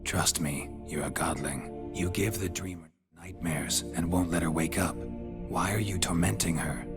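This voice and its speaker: A gruff deep witcher type from a video game, dry and terse, music in the back. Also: measured pace. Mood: blunt, questioning. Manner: deadpan, terse, understated. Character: gruff, dry monster-hunting male witcher. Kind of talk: fantasy video game acting.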